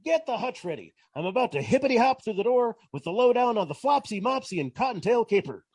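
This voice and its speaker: A man's voice: extremely high pitch, big pitch swings, quick pace, a little mellow, husky, no room sound, pitched above the performer's own voice, exaggerated voice. A man playing an irritable, wisecracking private detective with sardonic, theatrical delivery in the style of 1940s radio. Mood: wry, breezy. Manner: sardonic, theatrical, hard-boiled.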